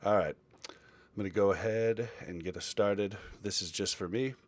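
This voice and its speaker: A man's voice: lowish voice, normal speed, heavy background noise. A man hosting a casual podcast chat, rambling along with frequent fillers and restarts. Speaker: podcast host.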